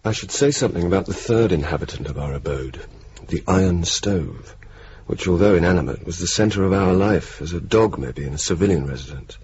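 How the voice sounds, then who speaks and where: An actor playing a wry, laconic, bookish young RAF officer in a radio play, speaking in a dry, understated, deliberate way. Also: male voice, low voice, animated, a little mellow. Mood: wry narration. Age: young adult. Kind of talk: naturalistic 1980s radio drama acting. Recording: muffled, hissy old radio broadcast tape.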